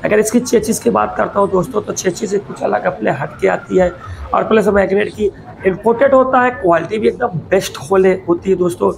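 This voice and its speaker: A man's voice: high voice, fairly quick, gravelly, slight background noise, poor audio. A man giving a casual, rambling product showcase as a vlogger, repeating himself and addressing viewers like friends.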